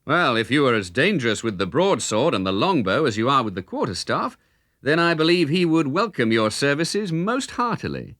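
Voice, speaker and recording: A man voicing a spirited, cheeky young outlaw hero in a children's storybook, speaking in brisk, formal, old-fashioned banter. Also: medium pitch, sing-song, a bit bright, dry recording, studio quality, pitched above the performer's own voice. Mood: proud, warm.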